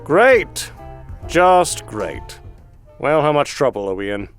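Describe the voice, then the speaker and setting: Man playing a dry, animated, scheming spy. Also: a little high, slow, exaggerated voice. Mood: wary, sarcastic. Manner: dry, sarcastic. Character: suave adult male spy husband, scheming, dryly sarcastic. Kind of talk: anime parody dub. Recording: music under the voice.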